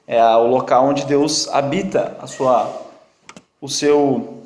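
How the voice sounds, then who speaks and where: A man with a strong non-native accent teaching a Bible study class, quizzing and teasing his students in a lively, joking way. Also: medium pitch, takes it slowly. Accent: strong non-native accent in Portuguese. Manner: jokey, quizzes and teases students. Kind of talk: interactive classroom teaching with questions to the group. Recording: echoey room.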